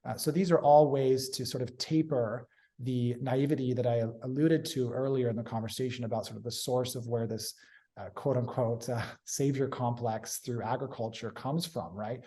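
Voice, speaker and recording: A man's voice: medium pitch, fairly even intonation, normal speed, dark tone. A man from Hong Kong, a guest in an interview, giving earnest, articulate explanations. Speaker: guest, urban agriculture consultant. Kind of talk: interview answers.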